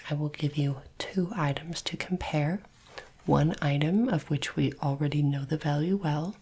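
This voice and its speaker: A woman voicing a polite, formal alien artifact appraiser in a soft breathy whisper, speaking slowly and precisely as an ASMR roleplay. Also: low-pitched. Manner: slow, measured, formal, precise diction.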